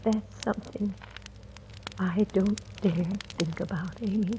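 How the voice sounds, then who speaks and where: A woman's voice: mid-range voice, fairly even intonation, a little slow, close to an everyday voice. An actress playing a blunt, bitter, resigned household woman in old-time radio drama style. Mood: resigned, hushed. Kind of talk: 1940s-50s radio drama acting. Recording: old radio recording, faint noise.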